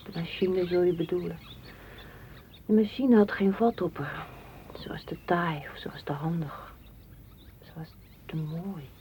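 Woman playing estranged lover, mellow low voice, subdued, sad, introspective, radio drama. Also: steady tone, a little slow, long pauses, close to an everyday voice. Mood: lost, musing. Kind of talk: Dutch radio play acting. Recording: faint noise of old radio recording.

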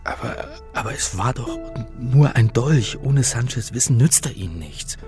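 A man's voice: mid-range voice, animated, normal speed, over music, natural voice. An actor playing an anxious, bewildered man caught in a mystery, hesitant and stammering, in a radio drama. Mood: uneasy. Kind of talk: German radio drama acting.

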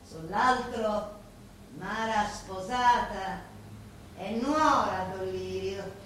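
An actress playing a proud, determined young Sicilian woman in a radio play, with a Sicilian accent and deliberate, dramatic delivery full of weighty pauses. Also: medium pitch, a bit bright. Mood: solemn, calculating. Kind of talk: theatrical monologue in a radio play. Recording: very echoey room, faint background noise.

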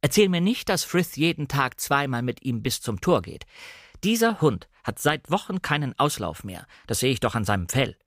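A man reading as a brisk, blunt, bossy country lady. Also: medium pitch, sing-song, a bit bright, no echo, clean studio sound, pitched above the performer's own voice. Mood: chiding. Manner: outspoken, chiding. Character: brisk, blunt, bossy upper-class sister, horsey country woman. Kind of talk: dramatic audiobook reading.

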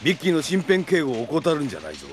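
A man voicing a stern, no-nonsense police chief who gives brisk commands. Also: fairly high pitch, wildly swooping pitch, soft background music. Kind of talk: anime drama CD acting.

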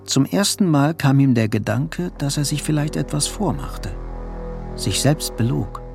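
A man tells the story of a radio play as a scripted literary narrator. His voice is mid-pitched with a marked creak, and faint music plays behind him. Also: animated, a little slow, darkish tone.

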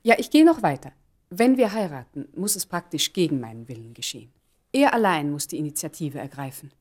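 An actress in a German radio play playing a poised, determined mother, speaking emphatically and deliberately. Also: sits low, big pitch swings, a little mellow. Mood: resolute. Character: poised, determined mother resolving to test her lover.

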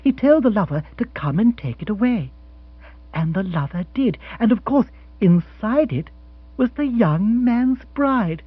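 A brisk, proper aristocratic wife, played by a male audiobook reader. The husky voice is pushed high and talks crisply and matter-of-factly. The sound is dry and a bit dull. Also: animated, dry recording, full character voice. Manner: crisp, matter-of-fact storytelling. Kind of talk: audiobook character voice. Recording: dull, dry, slightly lo-fi recording.

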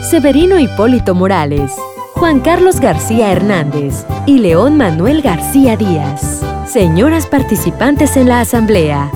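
A woman announcer reading scripted credits. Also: mid-range voice. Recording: music bed under voice.

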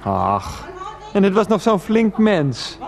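Exasperated pleading son, halting, repetitive, very animated pitch, radio drama. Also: male voice, creaky, crowd noise, full character voice. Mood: incredulous, pleading. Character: flustered, pleading son on the phone to his mother. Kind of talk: radio play acting.

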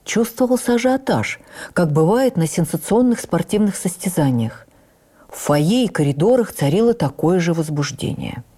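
An actress playing a stage actress who recalls her youth with admiring wonder, in measured, literary, expressive radio narration. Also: on the low side, slightly rough. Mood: reflective, admiring. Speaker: actress reading a memoir on radio. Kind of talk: dramatic first-person memoir narration for radio.

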